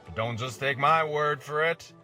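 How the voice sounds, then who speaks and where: A man's voice: mid-range voice, normal speed, pushed and bright, soft background music. A man playing an old-time commercial announcer, reading a scripted radio ad with an emphatic, theatrical salesman delivery. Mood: theatrical, salesy.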